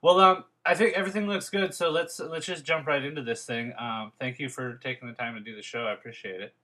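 A man's voice: medium pitch, steady tone, fast, pushed and bright. A young man hosting a podcast, chatting casually and rambling with many fillers and restarts. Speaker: podcast host. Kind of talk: casual interview chat.

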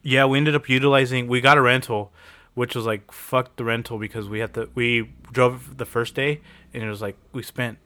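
A man's voice: mid-range voice, steady tone, moderate pace, bright, forceful tone. A man who produces a podcast, chatting in a laid-back, slangy way, full of "like" and casual swearing.

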